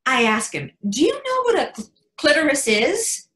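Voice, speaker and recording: Woman playing an expressive mother in an echoey room. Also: high voice, moderate pace. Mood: flustered. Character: tender, flustered mother of a young son. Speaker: actor in a readers theatre. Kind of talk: dramatic monologue reading.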